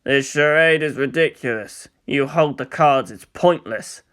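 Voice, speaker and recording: A man's voice: mid-range voice, unhurried, a bit bright, close to an everyday voice. A galled scientist played by a male narrator speaks in a dry, technical mutter. He is bitter and resigned, a character in a dramatic story reading.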